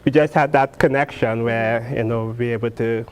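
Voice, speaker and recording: Halting man, steel pan player. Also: mid-range voice, fairly even intonation, a loud crowd. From Trinidad and Tobago. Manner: halting, restarts and repeats words. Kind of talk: interview guest.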